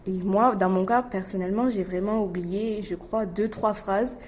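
A woman's voice: medium pitch, fairly even intonation, moderate pace, a little mellow, slight background noise. A woman, an interpreting student, giving candid and personal interview answers. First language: not Korean.

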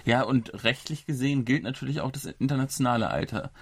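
A man's voice: mid-range voice, lively intonation, fast talker, gravelly, no room sound. German podcast host in his thirties. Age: 30s. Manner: conversational, explains at length with small restarts. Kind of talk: casual podcast chat.

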